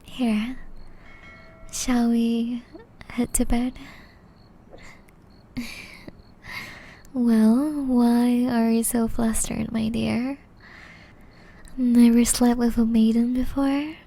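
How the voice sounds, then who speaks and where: A woman voicing a gentle, caring young witch in love, whispering softly and tenderly in an ASMR romance roleplay. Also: a little high, flat delivery, exaggerated voice. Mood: teasing, playful. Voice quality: soft, breathy whisper. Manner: slow, tender, intimate delivery.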